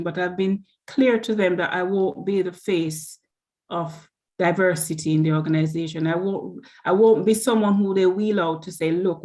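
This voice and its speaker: A woman's voice: on the low side, darkish tone. A woman guest speaker on a video call, talking earnestly and often restarting her words. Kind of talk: motivational talk and panel answers.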